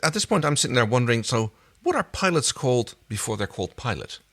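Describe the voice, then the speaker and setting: A man co-hosting a podcast, recapping casually in a low-key, halting way. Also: big pitch swings, fairly bright tone. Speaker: podcast co-host. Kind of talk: casual episode recap commentary.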